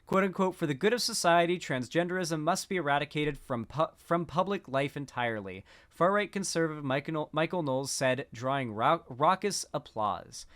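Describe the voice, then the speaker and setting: A man's voice: a little high, animated, moderate pace, few pauses, fairly bright tone. A man giving casual political commentary, rambling with frequent restarts.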